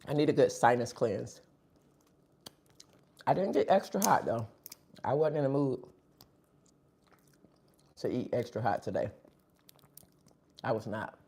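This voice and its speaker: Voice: mid-range voice, expressive, measured pace, long pauses, a little mellow, a little hiss or hum. A live-stream host chatting casually between bites of food, sniffling. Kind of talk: casual live-stream chat while eating.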